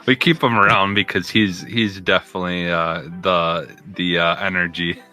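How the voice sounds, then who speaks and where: Low, bright-toned tired man co-hosting. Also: steady tone, faint music behind. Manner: halting, searching for words. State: tired from little sleep. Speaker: podcast co-host. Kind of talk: casual chat.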